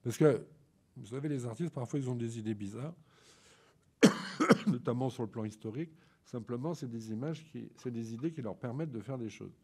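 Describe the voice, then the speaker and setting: A man with a bad head cold giving an informal lecture on a photograph, talking slowly with many ums and restarts. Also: mid-range voice, a little mellow, slightly rough.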